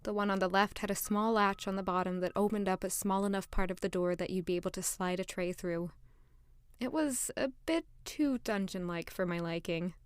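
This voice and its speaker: A woman's voice: mid-range voice, steady tone, a little fast, clear, resonant tone, dry recording, close to an everyday voice. A woman playing an anxious, earnest young maid turned lady-in-waiting in an audio drama, introspective in manner. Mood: pensive narration. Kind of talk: audio drama dialogue and first-person narration. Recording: music behind the voice.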